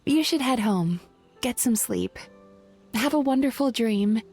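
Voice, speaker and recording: A woman voicing a gentle, thoughtful young female spirit psychologist in an anime game, with a soft, light, measured and reassuring delivery. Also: a little high, lively intonation, husky. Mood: tender reassuring. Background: faint music.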